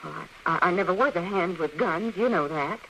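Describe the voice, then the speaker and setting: A woman playing a frightened, evasive frontier wife with a halting, nervous delivery in an old radio western drama. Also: lowish voice, expressive, pushed and bright. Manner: halting, nervous, breaking off and repeating. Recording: noisy vintage radio recording.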